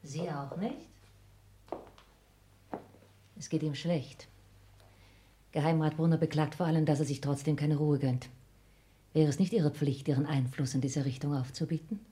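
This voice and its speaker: Scheming countess for a 1960s radio drama: low, very husky and breathy, formal, driven, intense. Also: female voice, steady tone, normal speed, dark tone. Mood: formal, probing. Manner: formal, clipped, intense stage diction. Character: scheming, hard, ambitious countess plotting against her dying father. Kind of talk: 1960s German radio play acting.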